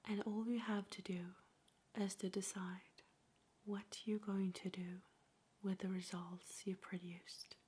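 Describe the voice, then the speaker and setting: A woman speaking in a soft, breathy whisper, slowly and gently guiding a meditation in an ASMR style, with measured pauses. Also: mid-range voice, little pitch movement, slight background noise.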